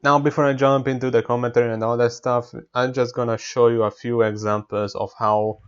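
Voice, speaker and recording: A man with a thick Hungarian accent hosts a casual sim-racing demo. He snaps out short, loud voice commands at an ordinary pitch for a man. Faint engine noise hums beneath him. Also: level tone, fairly quick, muffled recording. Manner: loud, clipped voice commands to the app. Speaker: sim racing video host.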